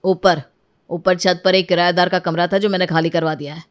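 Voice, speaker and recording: A woman's voice: lowish voice, little pitch movement, fairly quick, voice pushed down, natural voice. A woman voicing a guilty, defensive husband who speaks curtly. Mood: flat. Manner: curt, tense and defensive.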